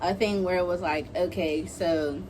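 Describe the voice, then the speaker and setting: A woman's voice: lowish voice, steady tone, moderate pace, a little mellow, a little hiss or hum, poor audio. Slangy young woman telling a story. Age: young adult. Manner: informal, slangy, gossipy retelling with acted-out quotes. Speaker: online video creator telling a story. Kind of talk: casual storytime chat.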